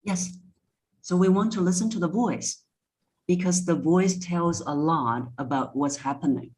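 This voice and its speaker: A woman's voice: on the low side, unhurried, darkish tone, low-quality recording. A woman speaking as a voice coach in a conversational interview, giving instructive advice step by step. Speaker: voice coach, podcast guest.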